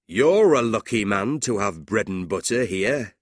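A narrator voicing a big, blunt, loud country man in a storybook, speaking slowly and emphatically. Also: mid-range voice, animated, no echo, clean studio sound. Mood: mocking amusement.

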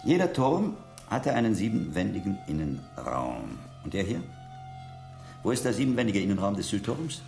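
A shrewd older scholar monk in a radio drama. His low voice is gravelly and lively, musing deliberately and teaching as he investigates, with faint music behind. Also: exaggerated voice. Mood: musing, intrigued. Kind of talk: German radio drama acting.

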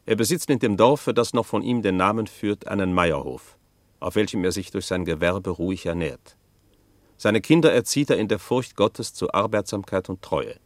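Narrator, male actor: fairly low, formal, measured and solemn, closely recorded dry radio play sound. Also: no echo, lightly acted.

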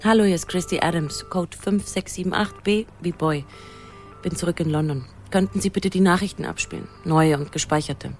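Actress as chatty woman, slightly low voice. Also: normal speed, soft background music, natural voice. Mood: businesslike. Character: busy, chatty young woman in a long-distance romance. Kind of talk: radio play acting.

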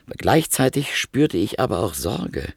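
A man narrating a radio drama in the first person, measured and polite. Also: fairly low pitch, sing-song, vocal fry, breathy edge, no room sound, studio quality. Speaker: narrator and visitor.

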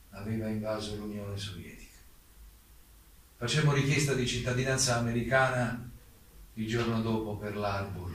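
A man playing an exiled German playwright giving a formal defence statement in a solemn, deliberate, rhetorical stage delivery. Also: medium pitch, a bit bright, close to an everyday voice. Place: theatre stage, recorded from afar. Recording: very echoey distant stage recording, faint noise.

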